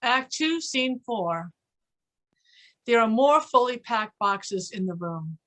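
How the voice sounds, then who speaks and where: A woman's voice: a little high, lively intonation, slow pace, fairly bright tone. A woman giving scripted narration, reading out stage directions for a play.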